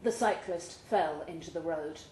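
A woman's voice: deep voice, normal speed, darkish tone. A woman giving a live dramatic reading of a short story at an event in a pub. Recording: roomy live pub recording, faint background noise.

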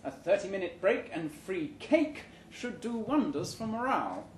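Guy doing a corporate hr lady in a story reading, fake cheery and smooth, pitched up, roomy w faint noise. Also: big pitch swings, normal speed. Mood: bright, businesslike. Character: brisk, falsely cheerful female human resources head. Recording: roomy, noisy live venue recording.

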